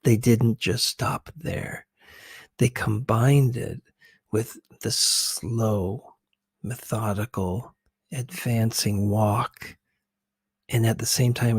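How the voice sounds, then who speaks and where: A man gives casual ASMR-style commentary in a close, dry recording. His pace is very slow and his manner is gentle and a little hesitant, explaining things bit by bit. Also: lowish voice, slightly rough, no echo. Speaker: ASMR video host.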